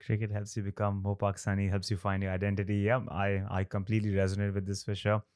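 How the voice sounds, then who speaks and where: A man's voice: on the low side, monotone, fast talker, darkish tone, smooth, dry recording, clean studio sound. A man hosting an interview podcast, speaking in an enthusiastic, affirming way. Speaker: podcast host. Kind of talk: casual interview chat.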